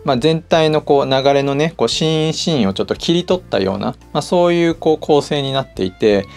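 Male podcast host, creaky mid-pitched voice, polite and casual solo talk over background music. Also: animated, brisk, darkish tone. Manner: polite, conversational.

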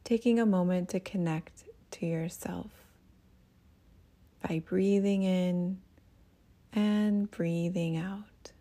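A woman leading a guided meditation as a teacher, speaking in a gentle, soothing manner with breathy phrase endings. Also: fairly low pitch, steady tone, slow pace, long pauses.

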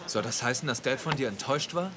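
A man's voice: medium pitch, expressive, fast talker, crowd noise, lightly acted. An actor playing a blunt, loyal young man, a protective older brother, in dramatic dialogue. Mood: dry, blunt. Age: young adult. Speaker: actor in an audio drama or dubbed series. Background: loud music.

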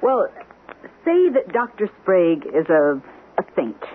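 Wife: animated, questioning, intuitive, vintage radio mystery drama, narrow hissy sound. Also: female voice, on the low side, a little slow. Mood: probing, puzzled. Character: thoughtful, probing wife with suspicious hunches. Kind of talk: old-time radio mystery drama acting. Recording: old radio broadcast, narrow tinny band, hissy.

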